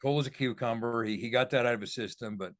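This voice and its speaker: A man's voice: mid-range voice, quick pace. A man co-hosting a casual sports chat, bantering with colorful, folksy turns of phrase. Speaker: podcast co-host.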